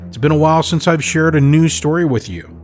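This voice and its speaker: A man's voice: on the high side, a little fast. Male horror podcast host over music. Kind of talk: scripted horror podcast introduction. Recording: music behind the voice.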